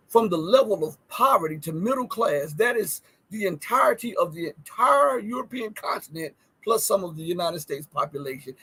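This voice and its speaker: A man's voice: high voice, a bit bright, slightly rough, rough recording. A man hosting a conversational interview, making emphatic, rhetorical points. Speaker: host.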